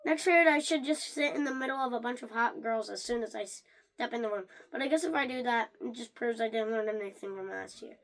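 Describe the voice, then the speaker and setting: Someone reading a children's book aloud in an animated way, stumbling over words and starting again. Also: medium pitch, brisk. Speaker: reader of a read-along.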